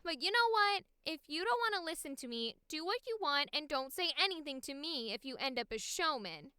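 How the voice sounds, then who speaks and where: A woman performs a young female AI actress for a cartoon. She is a dramatic perfectionist with a very high, bright voice. The sound is dry and close. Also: sing-song, brisk, no echo, heavily acted. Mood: huffy. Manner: dramatic, perfectionist, pleading then huffy. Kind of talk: animation audition read.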